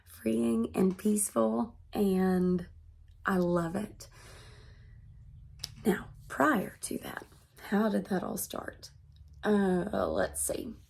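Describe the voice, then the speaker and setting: A woman in her thirties, congested and hoarse from being sick, talking earnestly to her vlog audience. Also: mid-range voice, darkish tone. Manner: slow, earnest and measured. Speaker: vlogger. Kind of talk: personal vlog monologue.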